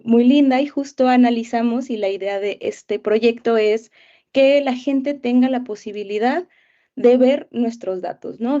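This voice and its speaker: A young Mexican woman teacher answering interview questions in a casual storytelling way, with many pauses and fillers. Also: a little high, warm tone, lo-fi sound.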